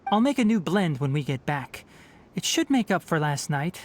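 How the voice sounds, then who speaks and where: A man voicing a thoughtful young forest ranger with animal ears and a tail in a video game, speaking in a measured, articulate way. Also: a little high, big pitch swings. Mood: musing. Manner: measured, articulate, matter-of-fact. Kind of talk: video game character dialogue. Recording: game music behind the voice. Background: background music.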